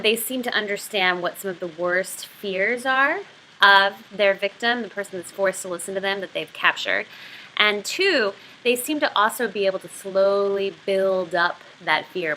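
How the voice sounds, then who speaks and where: A woman's voice: medium pitch, a little slow, sharp tone, a little hiss or hum, poor audio. A woman giving an explanatory literature lesson as a video host, conversational and enthusiastic. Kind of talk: explanatory lesson with novel read-aloud.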